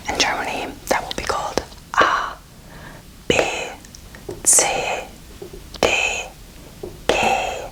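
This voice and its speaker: A woman's voice: slow, pauses often, low-quality recording. A woman in her twenties giving an ASMR language lesson in a whisper, casual, playful and encouraging as she teaches. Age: 20s. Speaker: host teaching beginner German.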